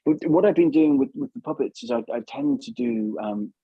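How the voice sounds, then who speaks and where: A man's voice: a little high, huge pitch swings, normal speed, creaky, breathy edge, no room sound, lo-fi sound. A man giving casual advice as an interview guest, chatty and repeating himself for emphasis. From England.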